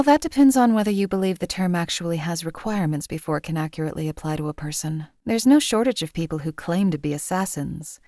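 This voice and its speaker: A woman's voice: on the low side, darkish tone, no room sound, clean studio sound, lightly acted. A woman voicing a cold, contemptuous hired assassin in a game, speaking in a formal, clipped, disdainful way. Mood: detached. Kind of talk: game character voice lines.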